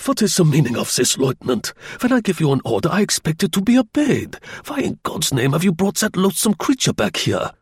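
Guy doing a pompous old-school german general for an audiobook, high and querulous, bossy, exclaims everything. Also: very animated, normal speed, husky, no room sound, studio quality, full character voice. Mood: livid, querulous. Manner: imperious, exclamatory.

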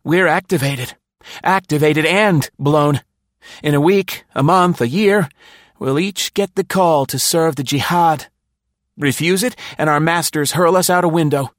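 A man's voice: a little high, measured pace, no room sound, pitched above the performer's own voice, lightly acted. A man voicing a paranoid, fearful middle-aged man who turns bitter and desperate, near sobbing. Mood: frantic. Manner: frantic, pleading, close to sobbing.

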